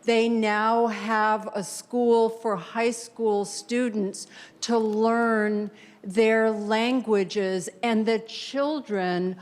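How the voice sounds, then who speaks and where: A woman from Denmark whose first language is Danish speaks from the audience. She talks slowly and earnestly in a slightly high voice, taking care over each point. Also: fairly even intonation. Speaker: audience member asking a question. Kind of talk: question from the floor.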